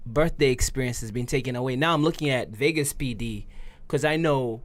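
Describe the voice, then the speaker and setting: A man's voice: mid-range voice, fairly quick. Thirty-year-old man in casual discussion. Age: around 30. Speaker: podcast host.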